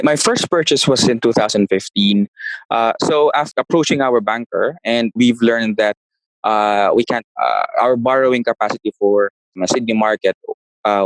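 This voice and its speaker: A man talks through his answers in a relaxed, conversational way, pausing to search for the right word and restarting phrases. His voice sits at an ordinary middle pitch. The sound is dry and close, with no room echo. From Philippines. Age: 30s. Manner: searches for words, frequent restarts. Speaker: guest, property investor. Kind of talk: casual interview answers.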